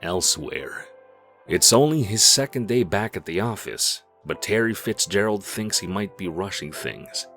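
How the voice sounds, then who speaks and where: Deep voiced guy narrating a comic, dramatic and deliberate, some music behind. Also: animated, a bit bright. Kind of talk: scripted comic book narration.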